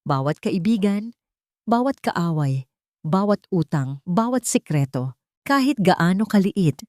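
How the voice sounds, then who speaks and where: A woman's voice: on the low side, animated, takes it slowly, a little mellow, no room sound. Determined wealthy businessman read by a female narrator, polite but firm, suspicious, story drama, dry recording. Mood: firm, insistent. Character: determined, suspicious billionaire man seeking the truth.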